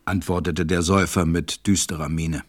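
A man with a low voice narrates a storybook as part of a radio play. He tells it in a measured way, recorded close and dry. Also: level tone, no echo.

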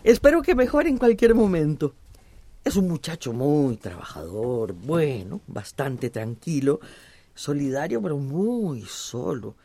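A woman's voice: low-pitched, big pitch swings, a little slow, mellow, dry recording, exaggerated voice. An actress playing a caring, teasing Central American countrywoman in a radio drama, affectionate and coaxing. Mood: fond teasing. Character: motherly, chatty village countrywoman, caring and teasing.

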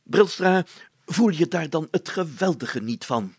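A man's voice: on the high side, lively intonation, measured pace, husky, dry recording, voice pushed up, heavily acted. A man voicing a pompous, blustering village mayor from a storybook, speaking in grandiose, declamatory style. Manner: grandiose, declamatory, easily indignant. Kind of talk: storybook character voice.

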